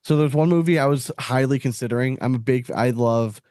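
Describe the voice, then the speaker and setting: A man's voice: mid-range voice, fairly quick, darkish tone, dry recording, clean studio sound. A man in his late thirties chatting casually as the host of a podcast. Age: late 30s. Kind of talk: casual chat.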